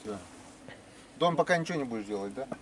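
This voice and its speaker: A man hosting a vlog in casual chat, asking quick questions and confirming answers with enthusiasm, recorded outdoors. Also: medium pitch, animated. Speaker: vlog host visiting a craftsman. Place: outdoors at a village garden plot. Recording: faint outdoor background noise.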